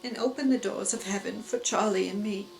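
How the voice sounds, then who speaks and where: A woman's voice: mid-range voice, fairly quick, faint music behind, lightly acted. An actress playing a devout, tender, fretful woman in a live radio play, with an earnest, theatrical delivery. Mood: tender, solemn. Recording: echoey live stage, clear background noise.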